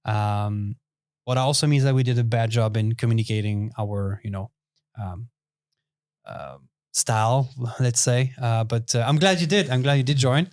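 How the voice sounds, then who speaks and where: A young man hosting a casual podcast interview in a small studio, relaxed and chatty with lots of fillers. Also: medium pitch, normal speed, clear, resonant tone, no echo. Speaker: podcast host.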